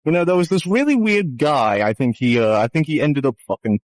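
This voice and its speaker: A man's voice: a little high, animated, a little fast, no echo. A man improvising a comedy puppet show in mock showman patter, rambling and swearing crudely. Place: online VR chat session.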